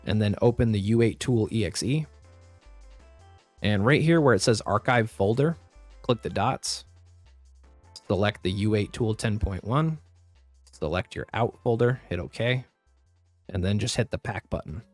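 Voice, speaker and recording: A man with a lowish, slightly raspy voice talks through each step of a computer tutorial in a plain, practical way. His throat is still a bit rough from a recent flu. Faint music plays quietly behind his voice-over. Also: unhurried, long pauses, no room sound. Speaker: tutorial host. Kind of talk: screen-recorded software tutorial.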